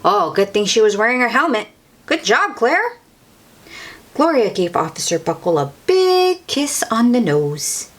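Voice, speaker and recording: A woman's voice: fairly high pitch, sing-song, unhurried. A woman reading a children's picture book aloud, with exclamations and chatty asides to young listeners. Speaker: storybook read-aloud host.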